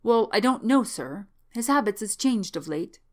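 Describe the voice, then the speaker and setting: A woman's voice: medium pitch, animated, moderate pace, pitched above the performer's own voice, close to an everyday voice. A woman voicing a wrinkled old manservant in an audiobook, deferential, chatty and worried, with rustic, servile speech. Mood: worried, confiding. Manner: servile, rustic ungrammatical speech, frequent "sir". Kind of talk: audiobook character voice.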